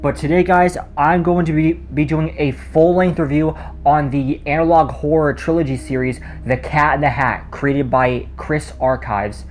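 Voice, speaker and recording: A man's voice: a little high, fairly even intonation, normal speed, hardly any pauses. A man giving a casual spoken video review in a rambling way, often stumbling over his words. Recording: noticeable background noise.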